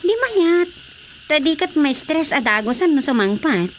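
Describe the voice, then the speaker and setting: Brief: woman in a radio drama, a bit high-pitched, conversational, with faint hiss. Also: lively intonation, unhurried.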